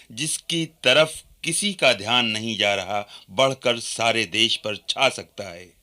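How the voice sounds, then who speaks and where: A forceful, sharp-toned reformer addresses a political assembly in a radio play. He speaks very slowly and formally, earnest and dignified, declaiming each phrase. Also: mid-range voice. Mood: grave warning. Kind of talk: Hindi radio play oratory.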